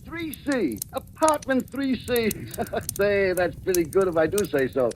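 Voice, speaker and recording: A man in a 1940s radio drama playing a friendly, chatty older shopkeeper, folksy and excitable, on a noisy old recording. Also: high-pitched, measured pace. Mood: eager, pleased. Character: friendly, chatty older shopkeeper called Pop, eager to help.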